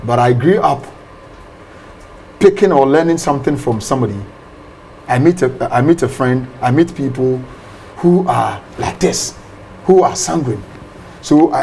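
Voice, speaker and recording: A man, a pastor explaining ideas in an interview, animated and often repeating words. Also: medium pitch, a little hiss or hum, low-quality recording. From Ghana.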